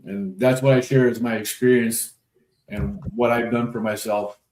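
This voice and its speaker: A man's voice: mid-range voice, fairly even intonation, moderate pace, a little mellow, a little hiss or hum. Matter-of-fact man in his fifties, roomy sound. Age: 50s. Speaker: panel guest. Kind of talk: casual chat.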